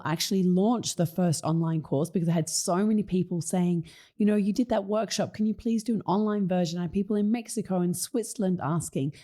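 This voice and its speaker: A middle-aged woman hosting her own podcast, talking in a casual, enthusiastic way with a slightly croaky voice and plenty of fillers. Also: on the low side, warm tone. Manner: enthusiastic, conversational with many fillers. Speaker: podcast host. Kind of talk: casual solo podcast talk.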